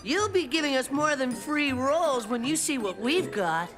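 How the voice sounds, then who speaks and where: A woman's voice: sits high, lively intonation, a little slow, a bit bright. A woman voicing a cocky, stubbornly optimistic little boy in a children's cartoon, boastful and slangy. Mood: self-important. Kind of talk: animated children's cartoon voice acting. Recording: music behind the voice.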